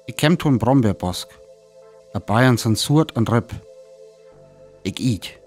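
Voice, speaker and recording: An actor with a North Frisian accent playing a weary lone survivor of an invasion in a radio play, telling it in sparse, dramatic phrases. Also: male voice, medium pitch, expressive, takes it slowly, soft background music. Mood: weary, wary. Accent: North Frisian (Föhr).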